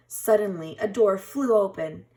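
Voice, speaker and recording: A woman teacher reading a children's storybook aloud in an expressive, dramatic way. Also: medium pitch, unhurried. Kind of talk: scripted children's storybook narration.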